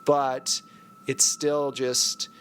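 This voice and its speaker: A man's voice: medium pitch, level tone, extremely slow, fairly bright tone, a little hiss or hum. A man hosting as game master, narrating a tabletop sci-fi game in a conversational, explanatory way.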